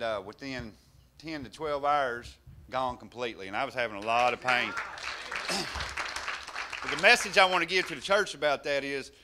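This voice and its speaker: A man's voice: a little high, background noise. A young man giving a nervous, earnest testimony that turns to exhortation, on a handheld mic in a small church.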